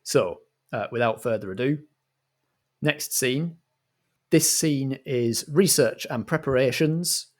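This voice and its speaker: A British man with a medium-pitched voice acts as compere and game master. His delivery is measured and theatrical, with a mock-formal touch suited to narrated radio comedy.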